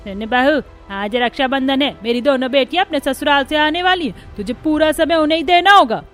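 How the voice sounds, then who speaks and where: Domineering middle-aged mother female voice actor, sharp-tongued scolding in cartoon melodrama, very high pitch. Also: a little fast, faint music behind. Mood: bossy, angry.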